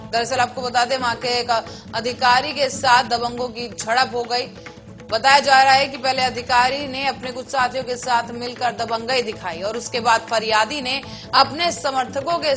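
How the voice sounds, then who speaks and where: A woman's voice: high voice, a bit bright. A woman reading a television news bulletin as the anchor.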